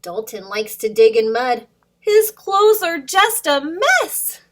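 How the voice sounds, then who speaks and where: A woman's voice: high-pitched, sing-song, slow, very noisy. A woman hosting storytime for young children, reading aloud expressively with sing-song emphasis and addressing questions to young listeners.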